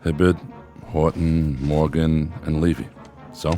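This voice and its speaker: A fatherly American army captain in a war radio drama. His voice is deep, and he talks in a calm, laconic, informal way as he probes. Music plays behind him. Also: slow pace, exaggerated voice. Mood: puzzled.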